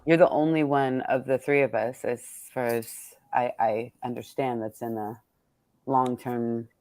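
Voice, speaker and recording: A woman's voice: extremely low pitch, fairly even intonation, unhurried, darkish tone, no room sound. A middle-aged woman in casual, reflective conversation, thinking aloud candidly and often repeating "like". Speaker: poet hosting a virtual book event.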